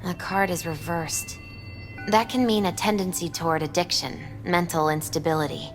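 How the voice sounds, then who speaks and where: A woman plays a young female fortune-teller in a game, with a slightly low voice. She is earnest and mystical, choosing her words in a measured, solemn way. Light music runs beneath her. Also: fairly even intonation, close to an everyday voice. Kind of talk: naturalistic video game acting.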